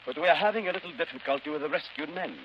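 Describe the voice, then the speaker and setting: Man playing brisk, courteous yacht captain. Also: a little high, close to an everyday voice. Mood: calm, formal. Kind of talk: 1930s radio acting. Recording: dull, hissy 1930s radio recording.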